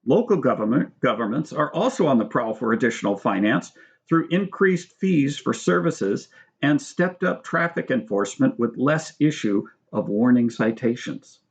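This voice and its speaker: A man reading his own book aloud as scripted narration, in a measured, formal manner. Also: mid-range voice, expressive. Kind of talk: scripted narration with brief spoken asides.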